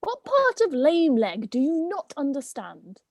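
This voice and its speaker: A woman's voice: high-pitched, huge pitch swings, unhurried, no echo, heavily acted. A woman playing a pompous, theatrical talking goat, indignant and wordy, in an amateur myth play reading.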